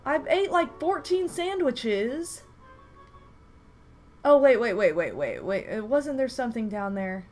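A woman's voice: a little high, measured pace, soft background music. A young woman streaming a game from home, chatting casually with viewers, her nose stuffed from a recent flu. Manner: animated, exclaims at the game. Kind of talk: casual livestream chat while playing. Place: home room, indoors.

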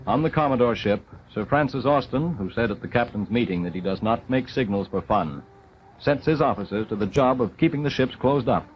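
A man's voice: mid-range voice, lively intonation, a little fast, slight background noise. A man reading scripted wartime documentary narration in a dramatic, solemn 1940s newsreel manner. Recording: dull 1940s film soundtrack.

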